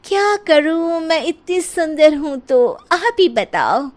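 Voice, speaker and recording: A woman voicing a vain, whiny young daughter-in-law in a comic cartoon, cutesy and drawn-out. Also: high-pitched, expressive. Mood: self-pitying vanity. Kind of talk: comic Hindi cartoon acting.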